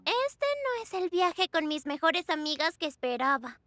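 A woman's voice: high-pitched, very animated, unhurried, fairly bright tone, no room sound, full character voice. A woman voicing an earnest, eager young girl in a children's cartoon, speaking expressively. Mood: wistful. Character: earnest, eager young girl who runs food truck. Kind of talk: Spanish-dubbed children's cartoon acting. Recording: music behind the voice. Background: cartoon music.

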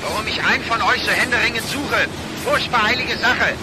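An actor playing a brisk, impatient male boss in a radio crime drama, urgent and bossy. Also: very high voice, very noisy.

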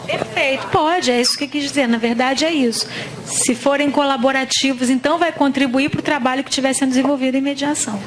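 Brazilian female lecturer, 40s, high voice, handheld mic in an auditorium, patient explainer. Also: fairly even intonation, fast, a crowd in the background, rough recording. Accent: Brazilian Portuguese. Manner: explanatory, frequent tag questions. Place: large auditorium. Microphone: handheld microphone.

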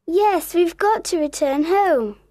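A woman voicing a gentle, motherly young girl in a children's storybook, earnest and tender. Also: very high-pitched, lively intonation, takes it slowly, dry recording, studio quality, pitched above the performer's own voice, full character voice. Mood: worried. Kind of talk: storybook character acting.

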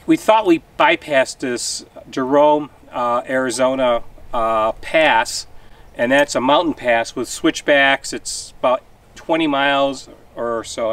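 A man's voice: mid-range voice, fairly bright tone. A middle-aged man chatting casually as a travel vlogger, standing outdoors by his trailer. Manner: chatty, loose, repeats himself. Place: outdoors beside an RV on a desert overlook. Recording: distant outdoor mic with background noise.

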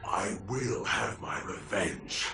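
An actor playing a bitter, vengeful undead ninja warrior in a video game, making defiant, commanding declarations. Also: male voice, lowish voice, slow, vocal fry, pushed and bright, reverberant, recorded from a distance, faint music behind, exaggerated voice. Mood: angry, vengeful. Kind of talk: dramatic video game acting.

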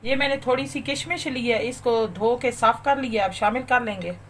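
High-voiced woman narrating a recipe. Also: moderate pace, slight background noise. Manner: calm, methodical instructions. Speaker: cooking channel host. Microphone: voice-over over overhead shots of a bowl.

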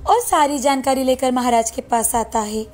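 A woman's voice: sits high, moderate pace, darkish tone. A woman narrator reading a scripted folktale. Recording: music bed behind the voice.

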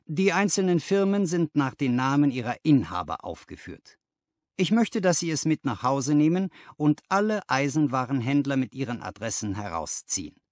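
A man voicing a brisk, patronising company boss in an audiobook, businesslike and used to giving orders. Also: mid-range voice, sing-song, no room sound, clean studio sound, close to an everyday voice. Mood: brisk, commanding. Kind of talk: audiobook character dialogue.

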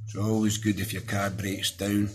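Low-voiced Scottish man reviewing a gadget. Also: flat delivery, normal speed, soft background music. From Scotland. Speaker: product reviewer. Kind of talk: casual hands-on product review. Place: small indoor room at a desk.

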